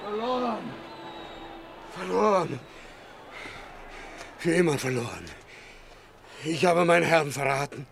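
A tormented giant of a warrior, with a raised, gravelly voice. He declaims with zealous remorse, as in a radio tragedy. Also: fairly high pitch, expressive, slow, pauses often, a little hiss or hum, full character voice. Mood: anguished. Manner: anguished, declamatory. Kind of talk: German radio play acting.

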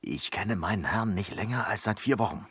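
An actor playing a cheeky, evasive manservant in a period comedy radio play, sly, playful and theatrical. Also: male voice, on the low side, expressive, fairly quick, a bit bright, husky, dry recording, full character voice. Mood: evasive, amused. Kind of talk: German radio play acting.

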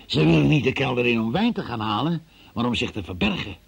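An actor playing a relentless, methodical and sceptical male interrogator in a radio play, with a gravelly, husky voice. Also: mid-range voice, sing-song, a little fast, dull audio, crowd noise. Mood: pressing, accusing. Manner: insistent, probing, methodical, sceptical. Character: relentless male interrogator questioning a young murder witness. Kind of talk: Dutch radio play acting.

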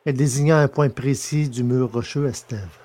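A man reading the narration of a science-fiction story in a measured way. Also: medium pitch, steady tone, a little mellow. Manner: measured, descriptive. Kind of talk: scripted narration.

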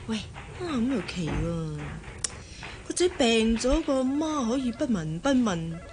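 A fairly high Hong Kong Cantonese voice playing an office gossip. She is nosy, teasing and sarcastic, in radio drama style. Faint noise lies behind her. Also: very animated, measured pace. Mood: mocking, nosy.

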